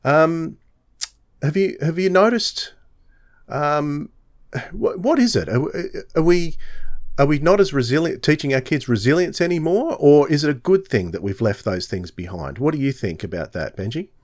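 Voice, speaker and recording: Lively-pitched man hosting a casual podcast. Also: moderate pace. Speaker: podcast host, interviewer. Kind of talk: casual chat, informal questioning.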